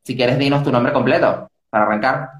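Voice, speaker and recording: Hesitant male host in an echoey room. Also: medium pitch. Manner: hesitant, halting questions with fillers. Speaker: interview host. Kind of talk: interview questions. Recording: roomy echo with faint music.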